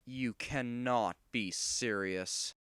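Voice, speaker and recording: A man's voice: mid-range voice, extremely slow, a bit bright, smooth, dry recording, studio quality. A man voicing a clueless, whiny young superhero team leader, easily exasperated, in a cartoon parody dub.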